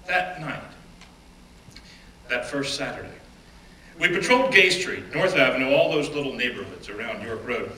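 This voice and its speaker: A man giving a first-person dramatic reading of an oral history, measured and deliberate, often dropping to hushed phrases. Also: medium pitch, lively intonation. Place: stage or event room. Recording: roomy, distant mic with faint background noise.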